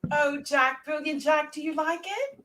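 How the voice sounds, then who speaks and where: A woman's voice: high voice, unhurried, a bit bright, voice pushed up. A woman voicing a young Victorian bride-to-be in a melodramatic amateur radio play. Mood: coy.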